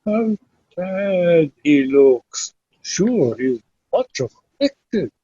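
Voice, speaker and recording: A man reading Shakespeare verse drama aloud as an amateur, in a measured, deliberate way over a dull online call. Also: high-pitched, big pitch swings, mellow, husky, no room sound.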